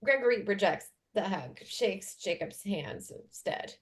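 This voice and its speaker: A woman with an average-pitched voice serves as the narrator of a script. She reads scene headings and stage directions in a scripted narration style. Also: lively intonation, measured pace.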